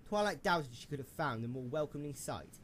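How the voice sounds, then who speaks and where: A man's voice: fairly high pitch, lively intonation, brisk, fairly bright tone, a little hiss or hum. A man reading scripted story narration for an amateur radio play in a dramatic storytelling style.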